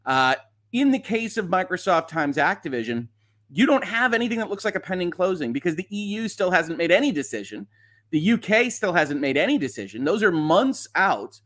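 Higher-pitched man giving conversational legal commentary. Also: sing-song, fairly quick, fairly bright tone. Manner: conversational, emphatic, wry asides. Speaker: host of a legal commentary livestream. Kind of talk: informal explanatory commentary, reading documents aloud.

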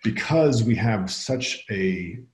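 A man with a very low voice talks through his ideas in a reflective, earnest way. He is a guest author on a conversational podcast. His voice rings with the echo of the room around him. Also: lively intonation, slow, a little mellow. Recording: echoey room.

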